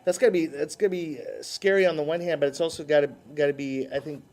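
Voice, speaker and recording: Mellow-voiced man, halting interviewer. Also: on the high side, steady tone. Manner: halting, repeats words and restarts often; laughs easily, friendly questioning. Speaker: host. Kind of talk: casual interview chat.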